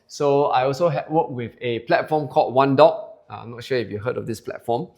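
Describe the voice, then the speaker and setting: A man's voice: medium pitch, fairly quick, vocal fry, darkish tone. Singaporean man giving a sales talk. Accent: Singaporean English. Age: 40s. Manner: chatty, polls the crowd and tags lines with "okay?". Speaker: presenter. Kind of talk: sales presentation to a live audience. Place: seminar room with an audience.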